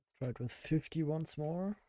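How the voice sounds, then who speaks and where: A man streaming his game development, hoarse with a worn-out voice, thinking aloud, answering chat and laughing at himself. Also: on the high side, normal speed, dark tone, no room sound, studio quality. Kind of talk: casual live-stream chat while coding.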